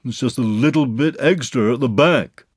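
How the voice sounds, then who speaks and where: A man voicing a gloomy, self-pitying old male donkey who complains slowly and mournfully. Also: mid-range voice, husky, no echo, clean studio sound, pitched above the performer's own voice, close to an everyday voice. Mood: glum complaining.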